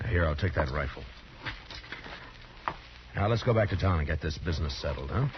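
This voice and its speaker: An actor playing a steady, world-weary American marshal, firm but kind, in a 1950s western radio drama. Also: male voice, rumbling low, a little fast, noisy background. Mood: calm, reassuring. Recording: muffled old radio recording, music bed.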